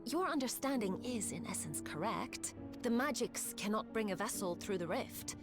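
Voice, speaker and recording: A woman's voice: mid-range voice, lively intonation, normal speed, lightly acted. An actress playing a poised, teasing and ominous otherworldly woman in a video game, with formal, archaic phrasing. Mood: composed, formal. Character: poised, teasing, ominous woman who travels between worlds. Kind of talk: video game cutscene acting. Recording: orchestral game music behind voice. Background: music.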